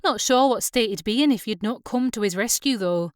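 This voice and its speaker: A woman voicing a steady, fair-minded Scottish skipper in his mid-thirties, direct and reassuring, in an audiobook. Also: on the high side, a little fast, no echo, studio quality, close to an everyday voice. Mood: brisk, reassuring. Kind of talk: audiobook character dialogue.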